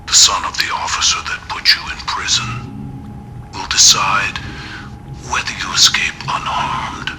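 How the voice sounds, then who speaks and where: An actor playing a sinister serial killer in a video game, taunting captives in a hushed, gravelly, deliberate and menacing voice. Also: male voice, sits low, whispered, faint music behind, heavily acted. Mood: cold menace. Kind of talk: video game villain recorded message.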